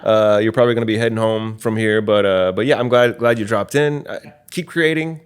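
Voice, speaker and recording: A man's voice: fairly low pitch, brisk, clear, resonant tone. A man hosting a podcast, speaking in a conversational presenting style. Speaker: podcast host.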